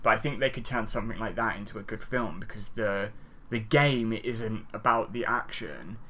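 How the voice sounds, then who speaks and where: A man's voice: on the low side, normal speed, bright, forceful tone. A man talking casually as a panelist on a podcast. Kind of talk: casual chat.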